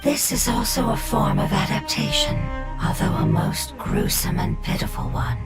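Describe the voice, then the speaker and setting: An earnest forest spirit elder, with a very lively, rising and falling voice. She explains things in a measured, heartfelt way, and loud game music sits underneath. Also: fairly high pitch. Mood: solemn. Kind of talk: video game dialogue.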